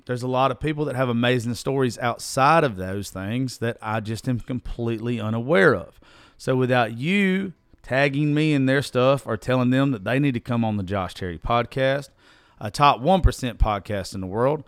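A man's voice: mid-range voice, gravelly, clean tone, no room sound. Southern guy doing his podcast solo, rambly n folksy, cusses some, voice kinda giving out, real dry close sound. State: voice strained from losing it. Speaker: podcast host. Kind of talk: casual solo podcast talk.

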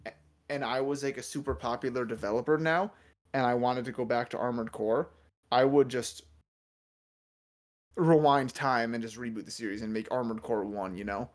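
A man's voice: medium pitch, fairly even intonation, normal speed. Male co-host, casual gaming podcast; jokey, profane, rambling delivery. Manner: joking, swears freely, rambling with fillers.

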